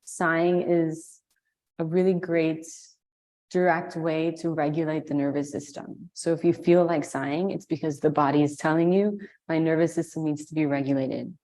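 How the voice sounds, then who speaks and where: A woman leading a guided meditation, giving slow, soothing instructions with long pauses. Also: sits low, steady tone, dark tone. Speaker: meditation and yoga teacher.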